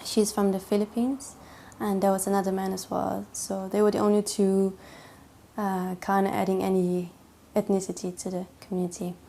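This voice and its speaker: Young woman, interviewee, hesitant and full of fillers, medium pitch, faint hiss behind her. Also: little pitch movement, normal speed, gravelly, dark tone. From Denmark. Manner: hesitant, many fillers and restarts. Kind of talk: personal testimony interview.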